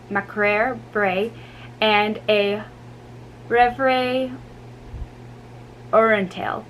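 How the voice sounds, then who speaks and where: A woman giving a dramatic amateur book reading, halting and stumbling over difficult names. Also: mid-range voice, fairly bright tone, rough recording. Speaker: amateur reader. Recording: faint background noise.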